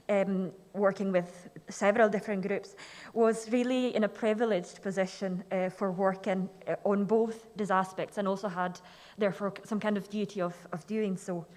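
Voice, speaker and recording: A young woman from Switzerland giving a conference presentation in a measured, careful way. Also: mid-range voice. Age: young adult. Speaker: student presenter. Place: lecture hall.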